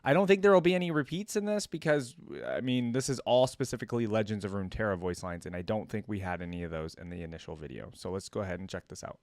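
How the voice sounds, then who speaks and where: A man's voice: fairly low pitch, quick pace, few pauses, no echo, studio quality. A man giving casual, off-the-cuff reaction commentary as the host of a video. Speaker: YouTube reactor hosting a video. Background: game voice lines and music.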